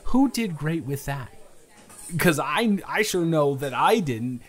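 A man's voice: fairly high pitch, sing-song, slow pace, full character voice. A man acting out a playful, flustered young guy on a first date in an improvised audio roleplay, teasing and stammering with mock indignation. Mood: mock stern. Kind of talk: improvised romantic audio roleplay, casual chat.

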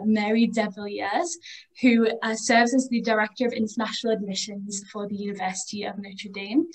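A woman's voice: medium pitch, poor audio. A young woman from England with a Liverpool accent, hosting an online webinar as an education advisor over a wired earphone mic, friendly and conversational with frequent ums. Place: home room on a video call.

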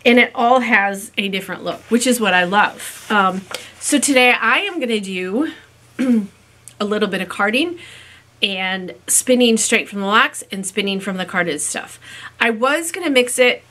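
Woman, 50s, raspy from a cold, bright voice, chatty, many ums, casual vlog to camera, small room at home. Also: mid-range voice, expressive, unhurried. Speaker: fiber-craft vlogger. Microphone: camera in front at desk distance.

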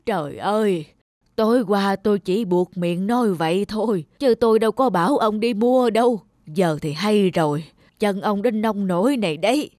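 A woman's voice: medium pitch, normal speed, darkish tone, dry recording, studio quality, lightly acted. A woman voicing an elderly grandmother who frets and scolds her husband in a cartoon. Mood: worried scolding. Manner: worried, nagging. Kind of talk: cartoon voice acting.

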